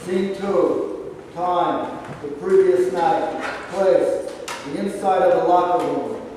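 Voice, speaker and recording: A man's voice: high-pitched, takes it slowly, mellow. A man narrating a staged reading, reading scripted announcements and stage directions. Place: live staged reading in a reverberant room. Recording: very echoey hall, far mic, faint noise.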